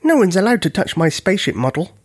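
A narrator voicing a small, caring child giant, speaking in a quiet, kindly way. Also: mid-range voice, very animated, fast, slightly rough, voice pushed up. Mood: proud, reassuring.

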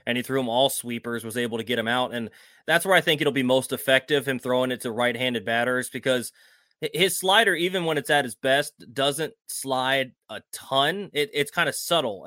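Bright-voiced man analyzing sports, dry sound. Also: medium pitch, no room sound. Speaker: sports show co-host. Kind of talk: conversational baseball analysis.

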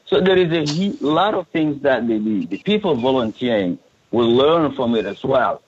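A man's voice: mid-range voice, animated, moderate pace, lo-fi sound, a little hiss or hum. A man from Sudan who coordinates refugee support services speaks earnestly in a podcast interview, often restarting and repeating words.

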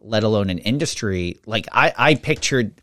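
A man's voice: on the low side, animated, moderate pace, fairly bright tone, no echo. A man co-hosting a podcast in casual chat, rambling and self-interrupting, laughing easily and sounding a little congested. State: slightly congested after nose surgery. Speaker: podcast co-host.

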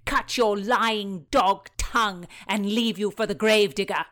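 A woman's voice: lowish voice, unhurried, lightly acted. Pompous nobleman, read by a female narrator, flowery and taunting, historical audiobook. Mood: baiting. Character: haughty, baiting, flattering nobleman. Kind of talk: dramatized historical fiction reading.

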